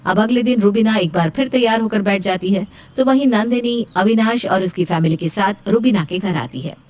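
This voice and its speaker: A woman's voice: medium pitch, moderate pace, darkish tone. A woman narrating a scripted story as a storyteller.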